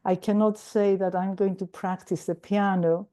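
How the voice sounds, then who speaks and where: Woman guest speaker, mellow and a little raspy from a cold, earnest, hesitant delivery over a video call. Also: mid-range voice, little pitch movement, moderate pace. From Greece. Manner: warm, earnest, with frequent hesitations and restarts. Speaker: guest speaker at a recovery meeting. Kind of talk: personal sharing talk.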